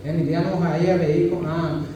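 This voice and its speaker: A man giving a formal thesis presentation, earnest and halting, with frequent pauses. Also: a little high, level tone. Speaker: student defending his thesis. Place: university lecture room. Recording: echoey room, mic far off, faint hiss.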